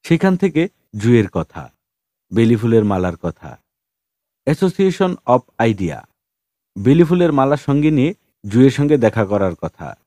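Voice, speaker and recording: A man narrating a story as an audiobook reader, in an expressive scripted style. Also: mid-range voice, measured pace, pauses often, no room sound, studio quality.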